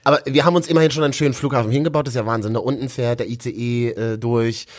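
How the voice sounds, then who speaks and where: A man's voice: medium pitch, steady tone, very fast. A man from Berlin hosting a local news show in a cheerful, casual way, full of jokey asides. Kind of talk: casual upbeat news chat.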